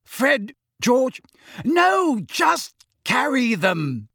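A man's voice: very high-pitched, sing-song, very slow, vocal fry, fairly bright tone, no echo, clean studio sound, pitched above the performer's own voice, heavily acted. A man voicing a furious, scolding mother in a strained, shrieking voice for an audiobook. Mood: exasperated, furious. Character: shrill, furious, scolding mother of rowdy boys.

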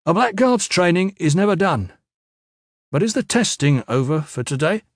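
A man voicing a resolute young warrior trainee in a fantasy audiobook, speaking clearly, coolly and without apology. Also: on the high side, expressive, moderate pace, no room sound, clean studio sound, pitched above the performer's own voice. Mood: respectful, firm. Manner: loud, clear, cool, unapologetic. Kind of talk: dramatised fantasy audiobook dialogue.

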